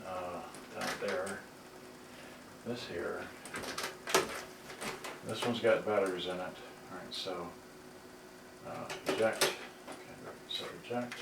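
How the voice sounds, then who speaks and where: A man giving a casual, unscripted demonstration, talking himself through it step by step in a halting way. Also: low-pitched, gravelly, very noisy. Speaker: repairer demonstrating serviced cassette players. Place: indoors in a house. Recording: roomy, distant mic, loud music behind.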